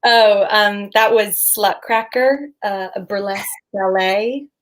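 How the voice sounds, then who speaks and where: A woman's voice: mid-range voice, fairly even intonation, takes it slowly, natural voice. An actress playing an easygoing, slightly awkward young woman chatting with an old friend in naturalistic play dialogue. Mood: amused, chatty. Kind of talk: naturalistic play dialogue over video call.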